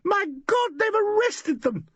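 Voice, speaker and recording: A man's voice: extremely high pitch, very animated, a little slow, no echo, voice pushed up, full character voice. A man voicing a hysterical young actress who wails and sobs. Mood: wailing. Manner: sobbing, wailing.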